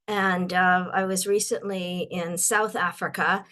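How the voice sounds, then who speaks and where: An older woman speaks in a slightly low, croaky voice. She talks as a guest speaker to an online audience, pausing often and restarting her sentences. She is from Ontario. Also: flat delivery, a bit bright. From Ontario, Canada. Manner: halting, many fillers and restarts. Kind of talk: webinar talk.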